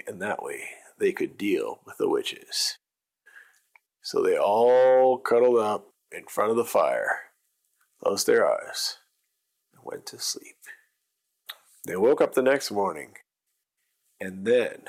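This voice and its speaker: A man's voice: lowish voice, creaky. A man narrating an improvised bedtime story for children, with simple wording and emphatic repetition.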